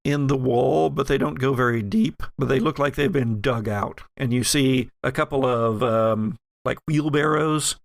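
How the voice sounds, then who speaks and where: A man working as game master, improvising descriptive narration for a tabletop horror game in a halting, self-correcting way. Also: mid-range voice, creaky, no echo.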